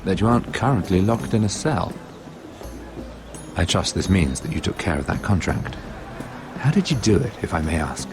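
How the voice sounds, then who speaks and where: A man playing a worldly, sardonic merchant lord in a fantasy game, with a smooth, wry delivery. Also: low voice, lively intonation, normal speed, long pauses. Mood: amused, teasing. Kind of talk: fantasy video game acting. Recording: music behind the dialogue.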